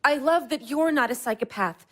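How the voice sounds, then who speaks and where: An actress playing an anxious, sharp-tongued young wife who swears bluntly in an audio drama. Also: female voice, sits high, normal speed. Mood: frantic, snappish. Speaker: newly married wife.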